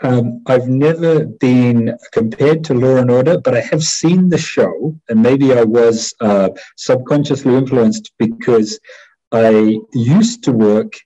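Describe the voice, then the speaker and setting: A middle-aged man with a New Zealand accent, explaining as an interview guest in a measured, hesitant way over a headset on a video call. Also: medium pitch, dark tone, husky. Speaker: interview guest, war crimes prosecutor. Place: small indoor room at home. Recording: dull video-call audio.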